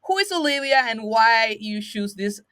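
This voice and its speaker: A woman's voice: a little high, expressive, moderate pace, fairly bright tone, no echo. Enthusiastic woman host, dry sound. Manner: enthusiastic, laughs often, non-native phrasing. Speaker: podcast host. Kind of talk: casual interview hosting.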